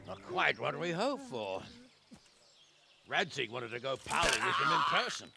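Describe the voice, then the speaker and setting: An actor playing a gruff, boisterous nobleman in a game cutscene, mocking and eager for a fight. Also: fairly high pitch, big pitch swings, unhurried, heavy background noise, heavily acted. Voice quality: gravelly. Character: gruff boisterous battle-hungry middle-aged nobleman. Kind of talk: video game cutscene acting. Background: music score.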